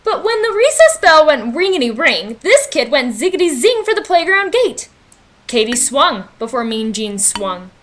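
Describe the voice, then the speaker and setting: A woman reading a children's picture book aloud in an expressive, dramatic, sing-song way, as a storyteller. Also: high-pitched, moderate pace. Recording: voice-over with music underneath. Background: background music.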